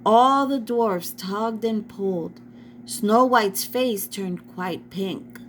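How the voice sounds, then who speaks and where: Woman, children's storyteller, slow pace, teacherly, talks to young listeners, faint background noise. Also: mid-range voice. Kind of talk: read-aloud children's story.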